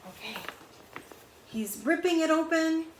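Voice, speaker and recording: A woman's voice: very high voice, expressive, unhurried. A woman reading a picture book aloud to children in a chatty way, explaining words and pictures and asking questions along the way. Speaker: read-aloud storyteller. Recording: roomy echo, faint background noise.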